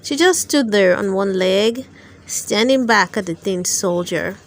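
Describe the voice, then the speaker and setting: A woman narrating a children's storybook aloud, with careful, expressive storytelling. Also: mid-range voice, a little slow. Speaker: storyteller, channel host.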